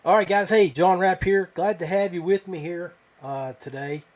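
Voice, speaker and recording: Male podcast host, slightly high voice, folksy and informal, thinking aloud in a halting solo monologue. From West Virginia. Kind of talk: casual fishing podcast monologue.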